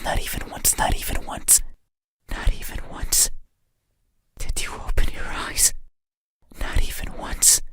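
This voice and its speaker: A man voicing an ancient, lonely monster with melancholy, eerie politeness in a breathy ASMR horror whisper. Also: close to an everyday voice. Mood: simmering resentment. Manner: slow, deliberate, intimate, halting phrases. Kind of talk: scripted ASMR horror roleplay monologue. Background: eerie music.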